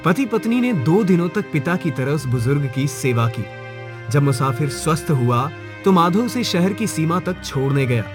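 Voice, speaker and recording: A man's voice: a little high, animated, normal speed, music in the background. A man narrating a scripted moral story as a dramatic storyteller.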